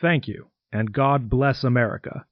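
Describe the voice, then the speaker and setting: A man's voice: medium pitch, normal speed, no echo, natural voice. Man acting a solemn American president, slightly muffled. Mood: solemn, resolute. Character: solemn, reassuring American president addressing nation at war. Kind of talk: presidential radio address, audio drama.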